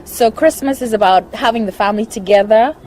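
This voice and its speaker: A woman's voice: a little high, a little fast, darkish tone, slight background noise, rough recording. A young woman from the Bahamas, chatting in an interview, listing things and laughing often. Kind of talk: casual interview.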